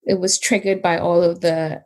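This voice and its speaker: A woman from Trinidad and Tobago, speaking with a blend of Canadian and Trinidadian accent, talks calmly and in a measured way as a podcast guest, often laughing lightly. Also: on the low side. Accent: Trinidadian mixed with Canadian. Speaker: guest, behaviour analyst. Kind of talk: casual interview conversation.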